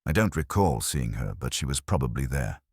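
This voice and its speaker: A big, resentful male restaurateur, read by a man in an audiobook. His voice is extremely deep and moves around in pitch, with a dry sound. He talks in a gruff, curt way. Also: big pitch swings, moderate pace, no room sound, heavily acted. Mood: faltering. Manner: curt, snapping. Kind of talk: audiobook character dialogue.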